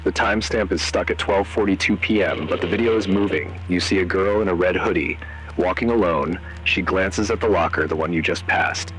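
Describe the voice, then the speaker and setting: A man narrating a scripted horror story in the second person, in short, measured, suspenseful phrases. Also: low voice, fairly bright tone, poor audio. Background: music.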